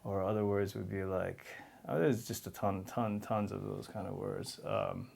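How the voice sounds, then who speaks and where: A man talks candidly in an interview. His voice sits low and carries a gravelly edge. He laughs easily as he tells stories. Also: expressive, normal speed, darkish tone. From New York City. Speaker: actor, interview guest. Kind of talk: casual interview conversation.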